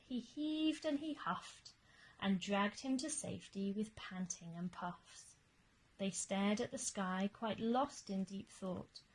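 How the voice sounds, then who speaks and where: Woman reading a children's story, unhurried. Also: mid-range voice, poor audio. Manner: measured, expressive, dramatic emphasis. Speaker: teacher reading a picture book aloud. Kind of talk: expressive children's storytime narration of rhyming verse.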